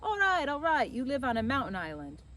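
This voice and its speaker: A proud, lazy fisherman from a children's storybook , acted out by a woman, grumbles and teases. He repeats himself in mock exasperation with big swings of pitch. The recording has noticeable background noise. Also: wildly swooping pitch, a little fast, a bit bright, heavily acted. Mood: exasperated. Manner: exclamatory, repetitive, mock-exasperated. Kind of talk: children's storybook character reading.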